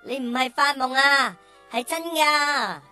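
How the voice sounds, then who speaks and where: Casting: a cheeky little cartoon bull calf, high-pitched, unhurried, melodramatic show-off. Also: female voice, pushed and bright, faint music behind, exaggerated voice. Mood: cheeky, eager. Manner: whiny, exaggerated. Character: cheeky young male cartoon cow, whiny show-off. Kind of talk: Cantonese children's radio drama acting.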